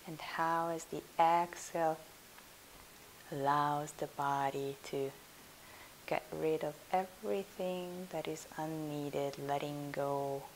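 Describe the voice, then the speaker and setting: A woman leading a guided yoga nidra meditation as a teacher, in a soothing, hushed, unhurried manner. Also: sits low, rough recording. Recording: steady hiss.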